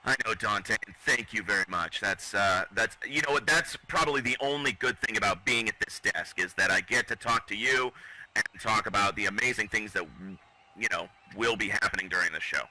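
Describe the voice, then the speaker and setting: A man's voice: on the low side, fairly quick, pushed and bright, low-quality recording. A man doing wrestling commentary in an arrogant, boastful heel persona.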